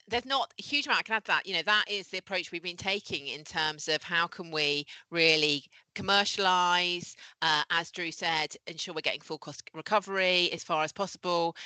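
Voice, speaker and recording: A woman with a slightly croaky voice giving earnest, formal remarks at a council meeting. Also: lowish voice, normal speed, bright, forceful tone, no echo, low-quality recording. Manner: earnest, corporate phrasing.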